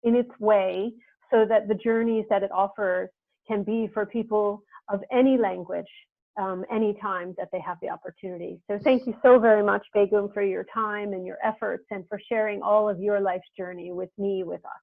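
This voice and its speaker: A woman's voice: mid-range voice, fairly even intonation, normal speed, vocal fry, dry recording. An earnest woman hosts an interview over a video call, thinking aloud in long, winding sentences. Her voice comes through thin and phone-like, with a dry, close sound. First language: English. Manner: earnest, reflective, speaks in long winding thoughts. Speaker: interviewer and host.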